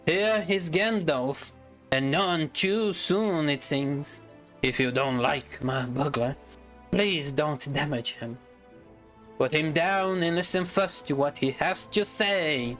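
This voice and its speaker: A man voicing an old wizard who speaks slowly and commandingly. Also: on the high side, a bit bright, soft background music.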